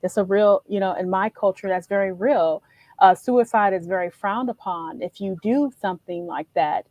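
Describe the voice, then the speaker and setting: A woman speaks on an online panel in a gracious, reflective way. Her voice sounds dry and close, with no room sound, at a medium pitch. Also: normal speed, a little mellow, no room sound. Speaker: panel guest. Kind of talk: webinar panel discussion.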